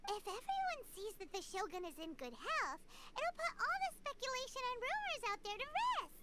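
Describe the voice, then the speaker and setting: A woman voicing a tiny, eager fairy-like girl companion in a video game, with a squeaky childlike voice and bubbly manner. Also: very animated, normal speed, very noisy. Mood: reassuring. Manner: bubbly, refers to self in third person. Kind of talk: video game character acting. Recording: game audio with loud sounds behind.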